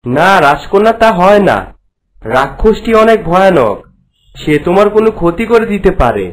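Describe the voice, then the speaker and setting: Man playing a higher-voiced brave fairy-tale hero. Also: sing-song, normal speed. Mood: earnest, protective. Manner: earnest. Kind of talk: fairy-tale cartoon acting.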